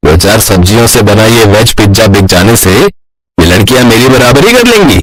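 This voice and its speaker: A man's voice: on the low side, big pitch swings, normal speed, dry recording, rough recording. Man acting a scornful, hot-tempered street vendor. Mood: scornful, boastful. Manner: scornful, bullying. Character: arrogant, jealous male street pizza vendor, hot-tempered and gruff. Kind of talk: Hindi cartoon story acting.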